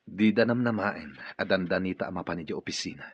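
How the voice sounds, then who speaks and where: A man's voice: sits low, expressive, fast talker, slightly rough, full character voice. An actor playing an authoritative, decisive businessman giving orders in an Ilocano radio drama. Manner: commanding. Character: authoritative wealthy male businessman boss, ailing and decisive. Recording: dull sound with music underneath.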